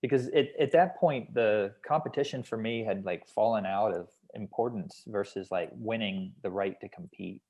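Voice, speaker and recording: A man's voice: fairly low pitch, moderate pace, hardly any pauses, darkish tone. A man explaining his notes on a script in a hedging, conversational way, like a consultant at a feedback session. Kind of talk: feedback discussion at a table read.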